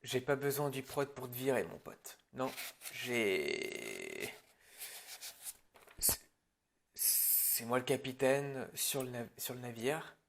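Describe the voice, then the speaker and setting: A man's voice: mid-range voice, big pitch swings, slow, creaky, long pauses, slight background noise, full character voice. A man playing an irritable, bossy film director in an improvised parody roleplay, alternating hesitant muttering with curt, sarcastic orders. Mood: angry, threatening. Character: irritable, bossy male film director running a prep meeting.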